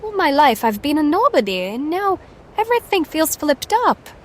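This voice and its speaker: A woman's voice: high voice, very animated, normal speed, a little hiss or hum. An actress playing an anxious young woman survivor in an audio drama. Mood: distressed.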